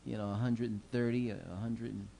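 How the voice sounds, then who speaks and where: A man's voice: medium pitch, level tone, a little fast, vocal fry, mellow. A middle-aged man, a city commissioner, giving earnest, preacherly holiday remarks into a desk microphone in a council chamber. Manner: earnest, preacherly, many fillers. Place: council chamber, seated at dais. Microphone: desk microphone on gooseneck.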